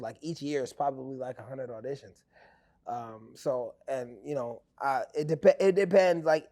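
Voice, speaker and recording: A man, telling stories as an actor guest in a casual interview. He rambles in an animated way with many fillers. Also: mid-range voice. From New York. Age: 20s.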